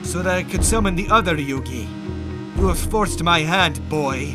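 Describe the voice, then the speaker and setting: A man voicing a cold, formal, menacing mystic villain in an amateur anime fan dub, speaking gravely and deliberately. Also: on the high side, expressive, natural voice. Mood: cold, threatening. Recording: loud music under the voice.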